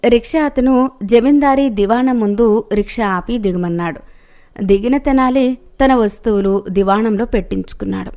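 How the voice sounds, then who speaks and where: A woman's voice: a little high, moderate pace, dark tone. A woman reading a scripted moral story as its narrator.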